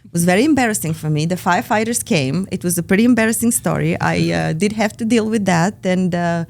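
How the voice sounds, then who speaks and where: A woman with a Bulgarian accent, a podcast guest talking in an earnest way with frequent pauses in a studio. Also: lowish voice, gravelly, a little mellow. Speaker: operations lead, guest. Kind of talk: podcast conversation. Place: recording studio.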